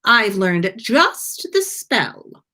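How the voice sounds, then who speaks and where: A haughty young wizard man from a storybook, voiced by a woman. He drawls slowly and sardonically, easily rattled into disbelief, with pitch that swings widely. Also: sing-song, sharp tone, full character voice. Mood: sleepy threatening.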